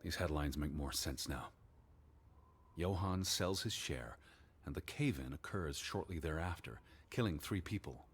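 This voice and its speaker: An actor playing a weary, skeptical 1920s American naturalist in a video game, speaking in a measured, dry, reflective way. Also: male voice, extremely low pitch, lively intonation, breathy edge, heavily acted. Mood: somber musing.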